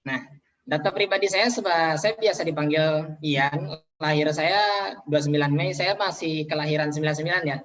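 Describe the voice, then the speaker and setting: A man's voice: a little high, normal speed, fairly bright tone, slight background noise. A man in his twenties, speaking casually from home as a guest speaker in an online seminar, with many fillers and light self-deprecating jokes. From Indonesia. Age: 20s. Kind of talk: online business seminar sharing. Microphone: video call.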